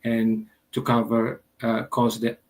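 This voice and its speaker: A man's voice: mid-range voice, completely flat delivery, slow pace. A man answering as a guest on an online panel, hesitant with many fillers and restarts. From Indonesia.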